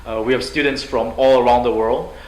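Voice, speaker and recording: A man's voice: medium pitch, steady tone, fairly quick, darkish tone. A man from Singapore, a university president answering interview questions in an upbeat, fluent way with frequent fillers. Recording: echoey room, faint noise.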